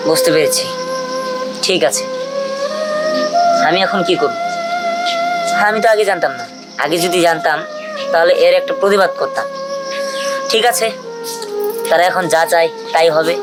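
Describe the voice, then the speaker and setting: Woman doing trusting young husband in a cartoon, earnest, pitch moves around a lot, loud music behind. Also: huge pitch swings, moderate pace, long pauses. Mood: resigned. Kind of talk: cartoon drama acting.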